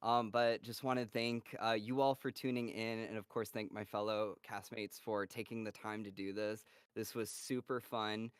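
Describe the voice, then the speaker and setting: Smooth-voiced upbeat male host. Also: on the low side, level tone, no room sound, studio quality. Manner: upbeat, casual, quick to laugh. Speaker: host, moderator of the Q&A. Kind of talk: live-stream moderation.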